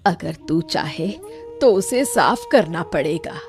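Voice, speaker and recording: Woman as elderly cartoon village aunt, caring, plain-spoken, unhurried, slightly low pitch, music underneath. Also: animated. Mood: caring, practical. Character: caring old village aunt, practical and steady. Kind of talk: Hindi animated moral story dialogue.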